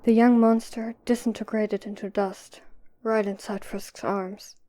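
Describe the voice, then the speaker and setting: A woman's voice: medium pitch, little pitch movement, a little slow, warm tone, dry recording. A woman reading scripted story narration for an audio drama.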